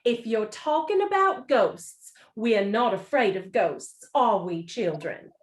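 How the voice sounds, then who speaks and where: A woman's voice: on the high side, animated. A woman playing a fussy, commanding wife and mother, easily alarmed, in a theatrical radio play style. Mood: dismissive. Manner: bossy, brisk orders, theatrical.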